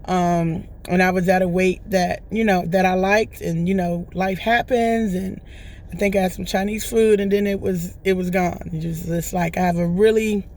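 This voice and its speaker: Hoarse woman chatting on her vlog. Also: on the low side, steady tone, normal speed, darkish tone, a little hiss or hum. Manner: rambling, chatty, often checks in with "right?". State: hoarse, recovering from lost voice. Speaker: vlogger. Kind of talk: casual testimonial chat.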